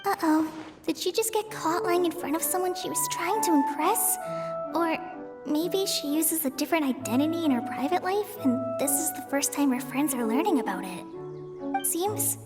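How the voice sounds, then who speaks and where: A tiny female fairy sidekick chatters along at a very high pitch, nosy and excitable as she gossips. Her voice swings all over as she talks. Game music plays underneath. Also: moderate pace. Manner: excitable, gossipy, refers to herself by name. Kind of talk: video game voice acting. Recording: game audio with music behind.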